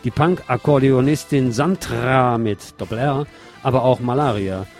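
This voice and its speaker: A man's voice: mid-range voice, a little slow, faint music behind. A man reading a written article aloud as a radio host, in a scripted narration style.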